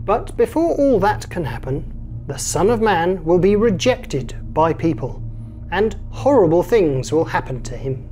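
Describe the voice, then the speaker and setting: Mellow, unhurried woman reading a story. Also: fairly low pitch, big pitch swings, soft background music. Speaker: story reader. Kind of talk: children's Bible storytelling. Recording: faint background noise.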